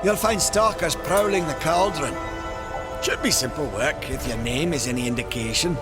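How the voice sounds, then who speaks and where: An actor playing a good-natured young giant blacksmith in a video game, folksy and earnest. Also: male voice, fairly high pitch, moderate pace, close to an everyday voice. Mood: friendly, hopeful. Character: eager good-natured young Maker smith, brawny giant. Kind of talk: video game character acting. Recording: game music behind.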